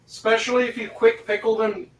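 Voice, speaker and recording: A man's voice: high-pitched, little pitch movement, fairly quick, rough recording. A man in casual, joking chat as one of a panel. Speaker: panel member. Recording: faint background noise.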